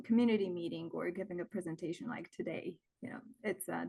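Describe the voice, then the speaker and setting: A woman's voice: fairly low pitch, rough recording. A woman hosting a webinar over a video call, reading questions from the chat and earnestly thanking the presenter. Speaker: webinar host.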